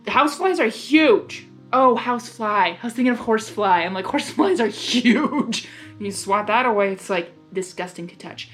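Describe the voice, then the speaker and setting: A woman's voice: fairly high pitch, expressive, moderate pace, a bit bright, faint music behind. A young woman reading a story aloud expressively and breaking in with gushing, emotional reactions. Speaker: reader of fan fiction.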